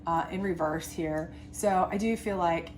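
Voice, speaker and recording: A woman's voice: on the low side, unhurried, darkish tone, a little hiss or hum. A congested woman giving a chatty, reassuring tarot love reading, full of fillers and asides. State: congested from a sinus infection. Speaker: tarot reader. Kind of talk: casual tarot reading to camera.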